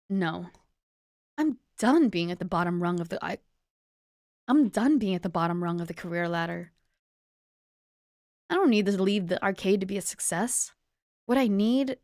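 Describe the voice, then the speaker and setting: A woman's voice: medium pitch, a little mellow, no room sound, clean studio sound. A woman playing an ambitious young arcade attendant in a visual novel, earnest and declamatory. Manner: theatrical, declamatory.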